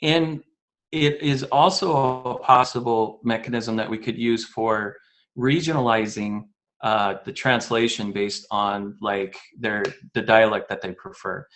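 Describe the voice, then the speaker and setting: A man's voice: fairly low pitch, fairly even intonation, measured pace, fairly bright tone. A man answering interview questions as a guest engineering manager, explaining in a casual way with fillers and self-corrections.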